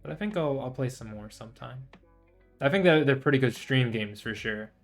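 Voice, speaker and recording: A man's voice: mid-range voice, animated, fairly quick. A man livestreaming in a casual chat style, rambling and thinking aloud as he hosts. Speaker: livestreamer, VTuber host. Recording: music behind the voice. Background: background music, guitar.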